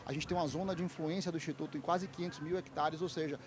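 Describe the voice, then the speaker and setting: A man's voice: a little high, steady tone, fast, a crowd in the background. A man, a lawyer giving fluent, articulate interview answers at a fair stand. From Salvador, Bahia, Brazil. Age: 30s. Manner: fluent, articulate, polished speaker. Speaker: lawyer and institute founder, guest.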